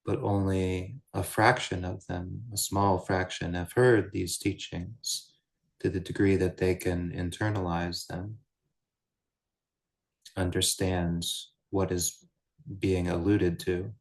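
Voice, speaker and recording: A man guiding a meditation as a teacher, speaking in measured, deliberate short phrases with pauses between them. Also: low-pitched. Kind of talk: guided meditation, unscripted Buddhist teaching.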